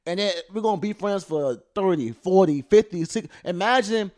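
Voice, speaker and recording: A man, hosting a casual podcast chat with loud, joking, profane banter. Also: normal speed. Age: 30s. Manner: loud, joking, swearing banter with sudden jumps in pitch. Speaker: podcast host.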